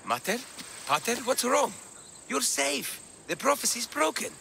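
A naive, eager young man from a video game. His voice is very high and creaky, excitable and anxious, over noisy insect ambience. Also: unhurried, exaggerated voice. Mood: anxious, bewildered. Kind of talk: video game cutscene acting.

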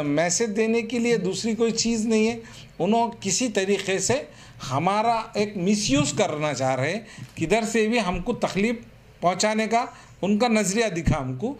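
A man giving an earnest, explanatory statement to the press. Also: sits high. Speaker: political party representative. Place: small office room, seated at a table. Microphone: press microphones on the table.

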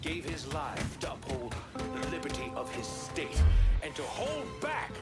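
A man's voice: on the high side, sing-song, measured pace. An actor playing a haughty, hot-tempered, bigoted middle-aged Southern man in a video game, with an emphatic, oratorical delivery. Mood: solemn, rousing. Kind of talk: dramatic video game acting. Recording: music behind the voice.

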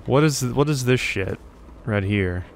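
Slow-talking man thinking aloud over a game. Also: mid-range voice, lively intonation, creaky, slight background noise. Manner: thinking aloud, musing to himself. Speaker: let's play host. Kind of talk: casual game commentary.

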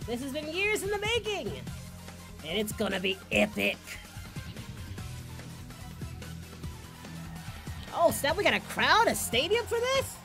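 Excitable cartoon hero played by a woman, crude reaction host, high and very lively. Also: normal speed, over music. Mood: excited. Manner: brash, crude, exclamatory. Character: excitable cartoon martial-arts hero, abridged parody man.

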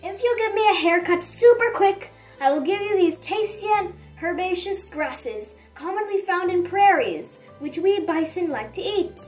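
Storybook young boy bison played by a woman, high voice, swooping intonation, dramatic, emphatic, indignant. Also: expressive, moderate pace, few pauses, darkish tone, faint music behind. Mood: pleading, eager. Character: excitable, dramatic young blue male bison.

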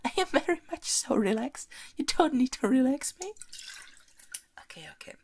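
A woman performing an ASMR roleplay as a haughty, teasing, demanding young princess, soft-spoken and close, often slipping into a whisper. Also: high-pitched, moderate pace. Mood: curious, haughty. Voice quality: breathy, often dropping into whispers. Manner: playfully bossy, imperious, expressive.

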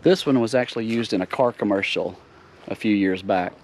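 A man, giving a relaxed, easygoing tour of roadside sights outdoors, recorded on a camera mic. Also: fairly low pitch, brisk, a little hiss or hum. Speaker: travel vlogger. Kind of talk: casual tour narration.

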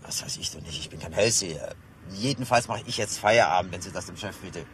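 A man's voice: low voice, a little fast, a bit bright, slight background noise. An actor playing a grumbling, flustered policeman on stakeout in a German radio play. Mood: grumpy, flustered. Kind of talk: German radio drama acting.

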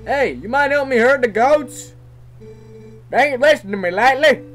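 A man's voice: extremely high pitch, animated, normal speed, fairly bright tone, faint music behind, pitched above the performer's own voice. A man voicing a folksy young male village herder with an exaggerated, bad rustic accent. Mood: friendly.

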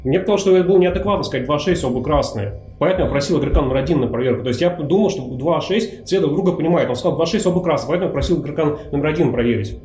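A man playing a mafia game, arguing rapidly and a little unsure of himself. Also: a little high, a little mellow. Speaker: game player. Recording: echoey room.

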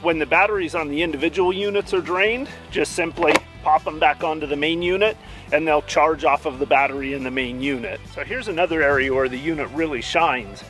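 A man's voice: on the high side, brisk, music in the background. Man reviewing camp gear outdoors. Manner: steady, enthusiastic explainer. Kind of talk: product review. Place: outdoors in a dry juniper woodland campsite.

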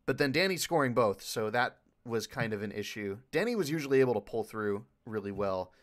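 A man's voice: mid-range voice, expressive, a little fast. A man speaking casually as a panelist in a Q&A, rambling with enthusiasm. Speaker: panelist, video editor for a fan dub group.

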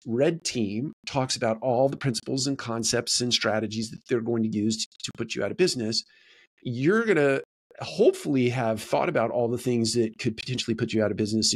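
Man explaining business ideas, deliberate delivery. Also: mid-range voice. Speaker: host of a business video series. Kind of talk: explanatory talk to camera. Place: small home office, indoors.

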